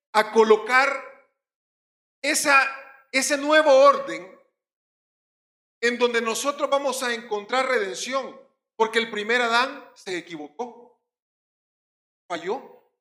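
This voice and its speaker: A male pastor with a Puerto Rican accent preaching a sermon, swinging between loud stressed words and quiet drops. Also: very high voice, takes it slowly, pauses often, roomy sound.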